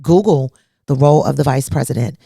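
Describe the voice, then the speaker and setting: A woman's voice: very deep voice, brisk, gravelly, dark tone, husky, no echo, studio quality. A woman hosting a solo talk podcast, emphatic and blunt, asking rhetorical questions, a little congested. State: congested from allergies. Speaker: podcast host.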